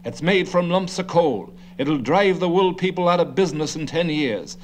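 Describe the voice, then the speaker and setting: A man's voice: a little high, lively intonation, moderate pace, slight background noise, close to an everyday voice. An actor playing a fussy, condescending older man who chatters and lectures endlessly. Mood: chattering. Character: fussy know-it-all older man, gabby.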